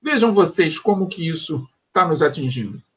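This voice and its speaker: A Brazilian man teaching a sociology class in an online lecture, explaining step by step and pausing to check that students follow, in a dull lo-fi home recording. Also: on the high side, big pitch swings, fairly bright tone, breathy edge. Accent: Brazilian Portuguese. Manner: explanatory, checks understanding with quick asides.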